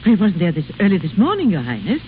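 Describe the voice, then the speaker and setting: A woman's voice: fairly low pitch, wildly swooping pitch, fast. An actress playing a deferential, anxious lady attendant to royalty, formal and theatrical, in an old radio drama with a tinny sound. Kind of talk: 1930s radio drama acting. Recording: tinny, faintly noisy old radio recording.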